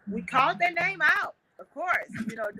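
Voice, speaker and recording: A woman's voice: extremely high pitch, animated, normal speed, pushed and bright, low-quality recording. A woman hosting a casual livestream on her phone, talking in a folksy way with many fillers and restarts. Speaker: host.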